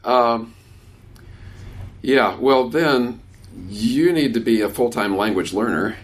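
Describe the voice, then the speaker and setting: A man in his sixties talks with a workshop crowd in a relaxed way. He is conversational and often restarts his sentences as he answers questions. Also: mid-range voice, slow. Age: 60s. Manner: warm, conversational, many restarts. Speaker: workshop speaker. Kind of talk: casual talk with questions from the audience.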